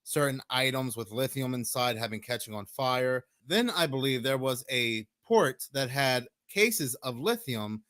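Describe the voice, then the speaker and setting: Man from the US, hoarse and chalky, mid pitch, measured commentary. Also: no room sound, clean studio sound. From United States. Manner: measured, steady explaining. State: hoarse, sore throat. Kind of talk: explanatory conspiracy commentary.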